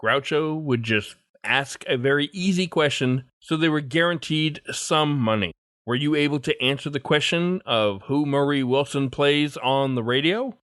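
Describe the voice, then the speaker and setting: Higher-voiced male podcast host, dry close sound. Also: moderate pace, a bit bright, clear, resonant tone, no room sound. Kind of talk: podcast hosting, reading listener mail and show announcements.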